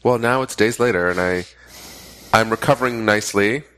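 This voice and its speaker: A man's voice: fairly low pitch, moderate pace, a bit bright, poor audio. A young man co-hosting a podcast in casual chat, his voice slightly nasal and congested from a cold, joking and rambling. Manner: joking, rambling, self-interrupting. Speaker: podcast co-host.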